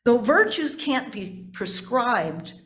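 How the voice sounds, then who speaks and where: An older woman delivers a sermon as a pastor. She speaks deliberately and with emphasis on key words. Her reflective preaching loosens into folksy, conversational asides and rhetorical questions. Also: a little high, very animated, a bit bright.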